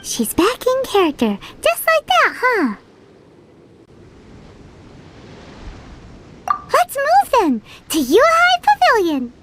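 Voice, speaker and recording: A woman voicing a tiny, bubbly fairy girl companion in a video game, with a high squeaky childlike voice and excitable reactions. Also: a little slow, very noisy, heavily acted. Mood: surprised.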